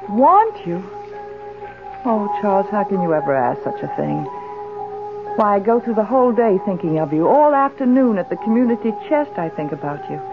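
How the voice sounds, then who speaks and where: Genteel, insistent wife, tinny radio. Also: female voice, medium pitch, sing-song, moderate pace, over music. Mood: adoring. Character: doting, imperious wife, yearning for her husband. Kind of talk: old radio drama acting. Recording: tinny old radio drama recording.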